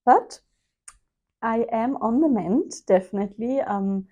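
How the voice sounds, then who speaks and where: Slow, mellow woman with a stuffy nose. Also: on the high side. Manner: chatty, unhurried, with frequent ums. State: slightly nasal from a cold. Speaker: sewing vlogger. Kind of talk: casual chat to camera. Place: home sewing room, indoors. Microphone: clip-on lapel mic.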